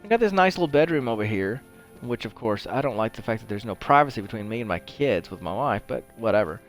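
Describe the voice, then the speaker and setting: A man's voice: medium pitch, lively intonation, fast, faint music behind. A man giving casual gameplay commentary, rambling and conversational as he thinks aloud. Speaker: host of a video game playthrough show.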